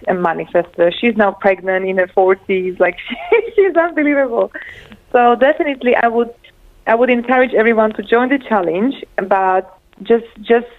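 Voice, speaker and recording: A woman from Slovakia, a guest speaking over a call, enthusiastic and laughing often. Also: mid-range voice. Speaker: guest, live show host. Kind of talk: casual interview on a call. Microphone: remote call. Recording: phone-like remote call line.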